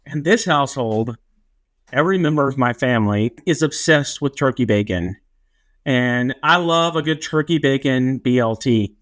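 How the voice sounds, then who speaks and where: A man's voice: mid-range voice, expressive, moderate pace, a bit bright. A man hosting a casual podcast, joking in a wry, self-mocking way. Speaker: podcast host.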